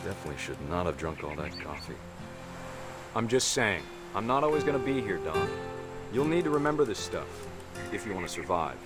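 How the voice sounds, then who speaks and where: A man's voice: sits low, very animated, brisk. An actor playing a serious, outdoorsy middle-aged American father in a video game, earnest and instructive. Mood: serious, reminiscing. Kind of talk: naturalistic video game voice acting. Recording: loud music underneath. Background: loud music.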